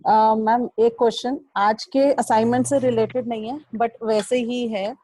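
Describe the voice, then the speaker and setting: A woman's voice: on the high side, steady tone, measured pace, warm tone, no echo, low-quality recording. A young woman student asking her teacher a personal question on a video call, hesitant, with many fillers, mixing Hindi and English. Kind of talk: asking a question in an online class.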